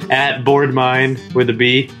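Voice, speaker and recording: A man's voice: mid-range voice, flat delivery, slow pace, a bit bright, faint music behind. Joking man on a podcast. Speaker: podcast guest. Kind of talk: casual podcast chat.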